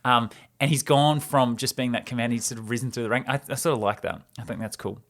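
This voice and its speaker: A man's voice: medium pitch, fairly even intonation, quick pace, a bit bright. A man hosting a show, retelling and commenting on a story in a casual, informal, explanatory way. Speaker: host retelling a film script.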